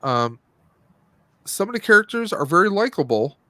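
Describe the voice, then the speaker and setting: A man's voice: high voice, very animated, moderate pace, bright, forceful tone, dry recording. A man hosting a casual movie review stream, talking in a rambling, conversational way and often rephrasing himself. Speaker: podcast host.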